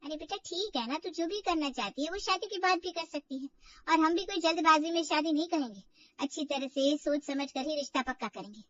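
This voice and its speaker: A woman puts on a proud middle-aged mother for an audio story. She coaxes and reassures in a high voice, recorded dry and slightly distant. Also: a little fast, dry recording, dull audio, close to an everyday voice. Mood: coaxing. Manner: persuasive, reassuring.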